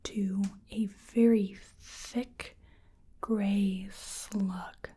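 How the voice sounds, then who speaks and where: A woman whispering softly and breathily in an ASMR roleplay as a polite, curious researcher doing a gentle exam. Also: mid-range voice, little pitch movement. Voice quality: breathy whisper and soft speech. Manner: gentle, hesitant, polite, unhurried.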